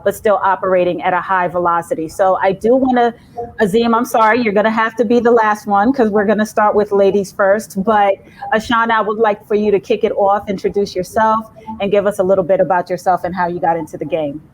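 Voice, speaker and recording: A woman's voice: medium pitch, steady tone, a little fast, rough recording. A woman hosting a virtual panel discussion in a chatty, rambling way. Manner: chatty, rambling intros with fillers. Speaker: panel host.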